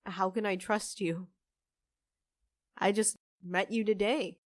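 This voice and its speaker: Woman acting as young half-fae woman, unhurried delivery, hesitant, guarded secret-keeper, close dry sound, fantasy audio drama. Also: mid-range voice, fairly even intonation, no room sound, lightly acted.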